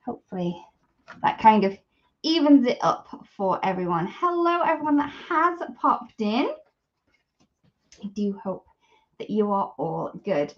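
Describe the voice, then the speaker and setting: A woman's voice: mid-range voice, big pitch swings, a little slow, long pauses. A woman with a sniffly cold hosting a casual live product demo, chatty and greeting viewers by name. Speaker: craft live stream host. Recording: slightly dull live stream audio.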